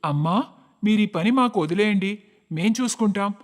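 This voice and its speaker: Cartoon homeless boy of sixteen, played by a man: polite, eager, quick, higher-pitched, faintly noisy recording. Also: big-room sound, natural voice. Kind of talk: acted cartoon story dialogue. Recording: faint background noise.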